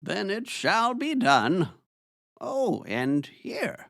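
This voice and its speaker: A man playing a grave, theatrical old wizard in a fantasy drama, speaking in deliberate pronouncements. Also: sits high, huge pitch swings, creaky, fairly bright tone, no echo, clean studio sound, exaggerated voice. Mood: gentle, solemn. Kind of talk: fantasy audio drama acting.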